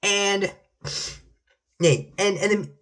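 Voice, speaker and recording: A man's voice: sits high, drawn-out words, a bit bright. Young male sports fan, casual predictions, rambling delivery with restarts, voice fading in and out. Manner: rambling, repeats "though" at line ends, many restarts. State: losing his voice at times. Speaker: sports commentator.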